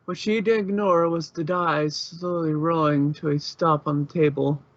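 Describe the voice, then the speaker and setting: A man narrates a fanfiction story in an informal reading style. His voice sits on the high side for a man. The recording is slightly dull and lo-fi. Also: steady tone, moderate pace, dry recording.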